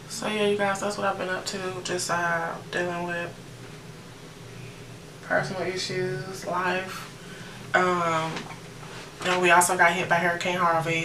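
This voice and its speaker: An American woman in her late thirties chatting casually to viewers as she narrates a makeup tutorial in an informal way. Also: low-pitched. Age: late 30s. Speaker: beauty vlogger. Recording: roomy, distant mic with noticeable background noise.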